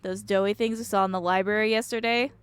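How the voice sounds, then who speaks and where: A woman's voice: medium pitch, steady tone, fast talker, a little hiss or hum, natural voice. A woman voicing a stubborn, sarcastic woman who runs a mine, in a curt, dry manner, in a video game. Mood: dismissive.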